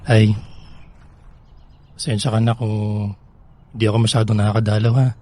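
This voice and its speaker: An actor with a Filipino accent playing a grieving, guilt-ridden young husband pleading in an emotional radio drama. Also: male voice, on the low side, little pitch movement, moderate pace, mellow, close to an everyday voice. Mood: sorrowful, apologetic.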